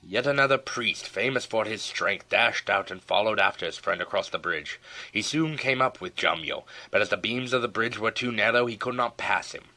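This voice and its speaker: A man with a low, forceful voice narrates a historical tale. His delivery is theatrical and solemn, with deliberate phrasing suited to an audiobook of old battles and legends. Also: low-quality recording. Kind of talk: dramatic scripted storytelling narration of a historical tale.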